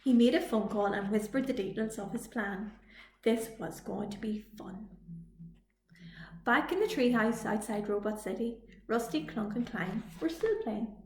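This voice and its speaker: A woman reading a children's story aloud as a library storytime reader, with expressive delivery. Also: fairly high pitch, a little hiss or hum. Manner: slow, expressive read-aloud. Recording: echoey room.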